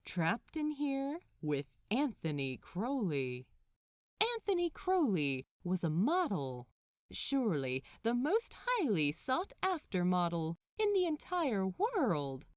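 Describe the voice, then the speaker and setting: A woman narrating a story aloud in an expressive, measured, dramatic storytelling style. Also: mid-range voice, no echo, studio quality. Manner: animated, measured, dramatic phrasing. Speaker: podfic narrator.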